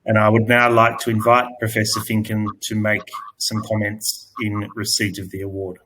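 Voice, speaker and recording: A man chairs a conference session and hands over to the next speaker with polite, measured words. His voice sits low and stays flat, with a bright edge. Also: poor audio. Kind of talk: formal introduction.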